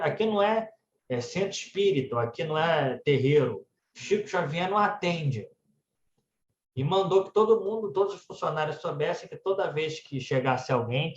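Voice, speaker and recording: A man's voice: on the high side, normal speed, slight background noise. A man with a Brazilian Portuguese accent giving a religious talk as conversational anecdotes, often tagging his phrases with little check-in words. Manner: conversational storytelling, frequently adding "né" tags. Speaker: speaker at a spiritist centre. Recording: echoey room, dull muffled sound.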